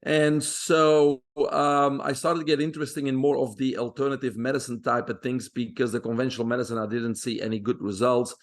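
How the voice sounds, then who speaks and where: A man in his fifties from Belgium, with a Belgian accent, telling his life story as a podcast guest in a steady, self-assured way with frequent pauses. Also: mid-range voice. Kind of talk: interview storytelling.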